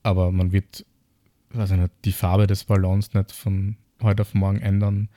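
Male podcast co-host, Austrian accent, dark mellow low voice, reflective and casual. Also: flat delivery, normal speed, no room sound. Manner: reflective, speaks in piecemeal phrases with rhetorical questions. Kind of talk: casual explanatory podcast talk.

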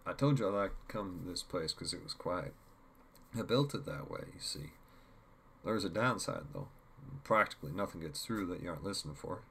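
A man voicing a calm, commanding male mentor in a story, measured and instructive, teasing and cryptic. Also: low voice, gravelly, slight background noise, heavily acted.

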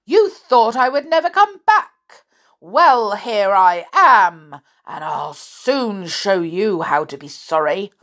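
Woman playing a shrill, fierce old woman. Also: big pitch swings, slow pace, full character voice. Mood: furious. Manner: shrill, shouting scolds.

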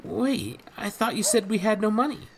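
A man's voice: sits high, animated, moderate pace, gravelly, a little hiss or hum, voice pushed up, poor audio. A man voicing a young boy who questions his stepfather doubtfully.

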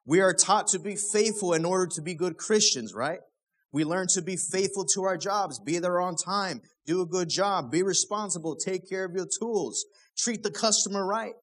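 A man's voice: high-pitched, normal speed, a bit bright. A young man, a pastor, preaching a sermon in a casual, conversational way, full of rhetorical questions.

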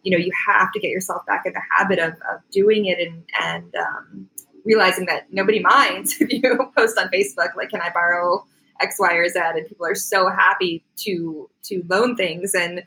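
A woman's voice: lowish voice, fairly even intonation, normal speed, pushed and bright, low-quality recording. A woman with a North American accent talking casually as an interview guest, in a rambling, self-deprecating way. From Canada-born, grew up in Florida, United States. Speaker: interview guest, artist and climate activist.

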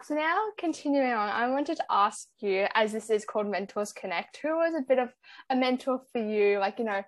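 A woman's voice: a little high, lively intonation, moderate pace, no room sound. A woman hosting a podcast, introducing her guest and asking questions in an upbeat, enthusiastic way. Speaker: podcast host. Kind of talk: scripted-feeling podcast hosting.

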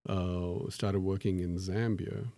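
Gravelly, mellow-voiced man reflecting. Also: low-pitched, little pitch movement, takes it slowly, no room sound. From born in Tanzania to Indian parents. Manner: thoughtful, self-deprecating, many fillers and restarts. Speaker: professor, interview guest. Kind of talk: reflective conversational interview.

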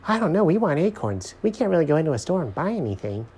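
A man voicing an excitable, pleading talking squirrel in a children's story. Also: fairly high pitch, fast, husky, pitched above the performer's own voice, full character voice. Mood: eager.

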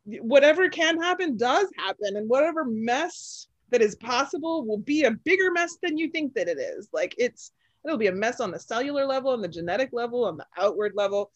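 A woman who calls her own voice low for a woman, talking in an animated, chatty way with plenty of fillers and laughs. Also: a little fast, no echo, dull audio. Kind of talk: casual podcast chat.

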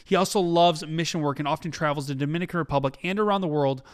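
A man's voice: fairly high pitch, fast talker, clear, resonant tone. A man hosting a podcast, introducing and interviewing a guest with upbeat energy. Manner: upbeat and energetic, rising excitedly when greeting friend. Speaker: podcast host.

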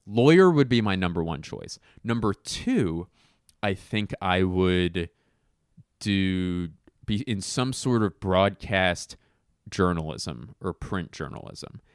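A young adult man rambling through a casual solo chat, self-mocking and sure of himself, with quick asides and restarts. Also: low voice, lively intonation, smooth, dry recording, clean studio sound.

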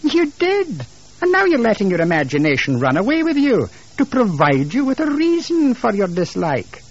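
A man's voice: extremely high pitch, very animated, a little slow, slightly rough, muffled recording, voice pushed up, heavily acted. A man voicing a skeptical, dismissive wife and mother with a condescending, sighing manner in a narrated story. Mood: patronizing disbelief. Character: skeptical, patient, dismissive middle-class English wife and mother.

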